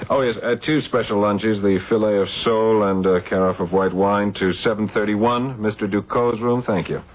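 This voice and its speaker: An actor playing a brisk, shrewd hired investigator with an easygoing, familiar manner in a radio drama. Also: male voice, on the low side, fairly even intonation, natural voice. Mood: breezy, confident. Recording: muffled old radio broadcast.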